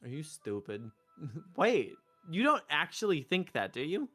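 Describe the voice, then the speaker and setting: A man's voice: a little high, wildly swooping pitch, a little slow, a bit bright, breathy edge. Man streaming a visual novel. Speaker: streamer, narrator and player character. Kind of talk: voiced visual novel playthrough. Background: music.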